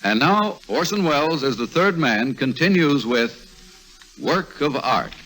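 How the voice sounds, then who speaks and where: A man's voice: on the high side, expressive, unhurried, low-quality recording. A man announcing in 1950s radio style on an old recording. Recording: old radio recording, loud music behind.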